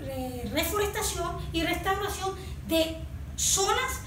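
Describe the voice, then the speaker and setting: High-pitched Venezuelan woman in an echoey room. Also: lively intonation, slow. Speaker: environmental consultant, interview guest. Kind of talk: explanatory discussion of a proposal. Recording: echoey room, distant mic, clear background noise.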